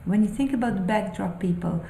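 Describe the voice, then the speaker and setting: A woman who speaks English as a second language, musing aloud about spiritual ideas in a halting, thoughtful way, with many pauses and fillers. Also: mid-range voice, vocal fry, warm tone, reverberant, a little hiss or hum, low-quality recording. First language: not English. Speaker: spiritual vlogger. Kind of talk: unscripted musing monologue.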